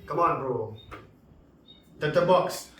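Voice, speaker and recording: Male vlog reviewer, Malaysian accent, somewhat high pitch, informal and repetitive, very roomy sound. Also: lively intonation, fairly bright tone. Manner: informal, repetitive, halting, punctuated with slangy interjections. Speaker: product reviewer and vlogger. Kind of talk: casual unscripted product review. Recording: echoey room, distant mic, background music.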